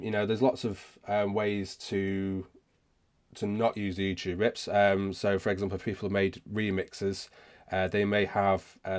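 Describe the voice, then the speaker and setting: A man hosting a casual live question-and-answer session, speaking haltingly and often rephrasing himself as he goes. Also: lowish voice. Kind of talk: casual livestream chat, answering viewer questions.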